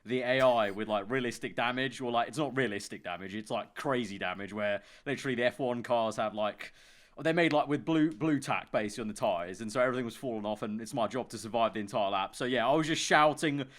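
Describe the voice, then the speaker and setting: A young man chatting as a podcast guest, talking loudly and enthusiastically with quick laughs. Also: medium pitch. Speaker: podcast guest, YouTube creator. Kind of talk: casual podcast chat.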